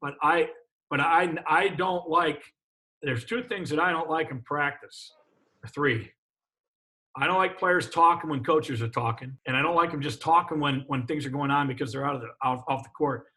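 Emphatic middle-aged basketball coach. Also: male voice, a little high, normal speed, pushed and bright. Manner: emphatic, repeats words while restarting sentences. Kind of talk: casual coaching chat.

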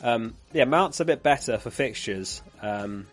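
A man's voice: medium pitch, lively intonation, measured pace, soft background music. A man who is losing his voice, hosting a live fantasy football stream in a chatty way, reading out viewer comments and laughing along.

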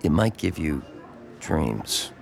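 An actor playing a lonely, withdrawn small-town man in an audio drama, halting, subdued and gloomy. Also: very deep voice, sing-song, vocal fry, exaggerated voice. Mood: reluctant, gloomy. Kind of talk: naturalistic audio drama dialogue.

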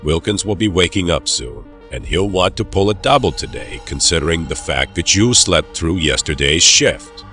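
An actor playing a cold, controlling doctor in an audio drama, giving orders in a stern, measured, quietly menacing way. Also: male voice, low-pitched, lively intonation, soft background music. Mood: cold, impatient.

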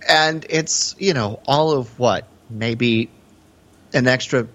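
A man's voice: mid-range voice, takes it slowly, a bit bright. A man co-hosting a podcast, talking about film in a casual, hesitant, musing way. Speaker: podcast co-host. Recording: slightly dull, lo-fi audio.

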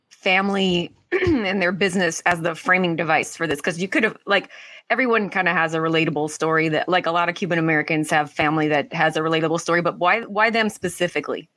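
A woman with a slightly low voice acts as an interviewer. She phrases her questions casually, as part of a relaxed conversation. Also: a little fast.

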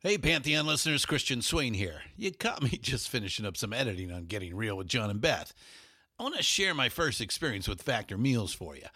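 A man's voice: medium pitch, sing-song, brisk, pushed and bright, slightly rough, no echo. A man reading a podcast ad as a chatty personal story, in a dry studio recording. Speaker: ad host. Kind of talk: host-read ad.

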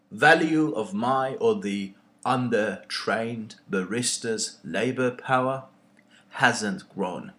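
A male poet speaking in a thoughtful, deliberate way, with pauses between short phrases. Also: medium pitch, a bit bright.

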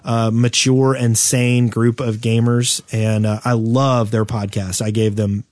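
A man's voice: mid-range voice, little pitch movement, unhurried, a little mellow, no echo. A man hosting a podcast, chatting casually about gaming and telling stories with quoted back-and-forth. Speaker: podcast host.

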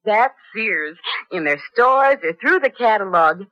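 A woman's voice: mid-range voice, expressive, unhurried, no room sound. A middle-aged woman giving a scripted testimonial in a 1970s radio commercial. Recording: dull lo-fi radio broadcast.

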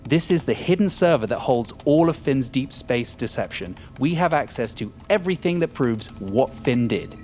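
An actor playing an arrogant, power-hungry hacker in an audio drama, with a smug, cynical and sardonic manner. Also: male voice, medium pitch, lively intonation, normal speed, hardly any pauses, a little mellow, soft background music, natural voice. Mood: confident, explaining. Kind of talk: audio fiction acting.

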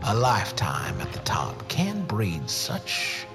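A man's voice: on the low side, huge pitch swings, exaggerated voice. An actor playing a ghoulish immortal horror host, sardonic and mock-grandiose as he sneers at mortals in a theatrical audio drama. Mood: gloating, menacing. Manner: slow, menacing, mock-grandiose, drawn-out words. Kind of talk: theatrical horror anthology narration. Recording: loud music behind the voice.

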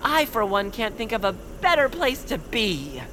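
Earnest, high-pitched young cartoon creature. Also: female voice, big pitch swings, a little slow, noisy background. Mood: earnest, solemn. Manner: earnest, wordy. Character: earnest, timid, speech-making young peepkin bird creature. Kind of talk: audio drama cartoon acting.

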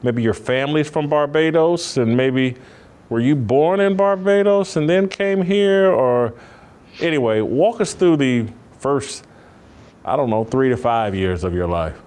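A man's voice: mid-range voice, animated, a little slow, darkish tone, smooth. A man hosting a holiday interview, asking loose, rambling questions with restarts. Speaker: podcast host. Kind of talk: casual interview.

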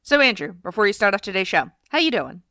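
A woman's voice: medium pitch, big pitch swings, fast talker, bright, forceful tone, no echo, clean studio sound. A woman co-hosting a casual hockey podcast, congested and stuffy, chatting with plenty of fillers. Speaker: podcast co-host. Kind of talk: casual sports podcast chat.

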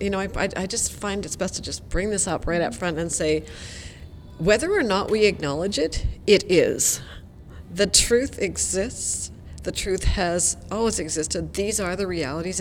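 A woman's voice: lowish voice, a little hiss or hum. Frank, emphatic woman educator. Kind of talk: interview answer.

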